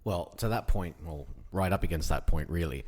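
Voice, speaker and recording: A male podcast co-host with a very low voice, asking questions casually. He circles his point with false starts before landing it. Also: moderate pace.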